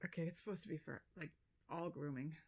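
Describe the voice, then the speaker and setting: A woman's voice: deep voice, fairly even intonation, fast, a crowd in the background. A middle-aged woman co-hosting a podcast in casual, blunt chat, swearing freely and laughing often. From Boston area, USA. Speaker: podcast co-host.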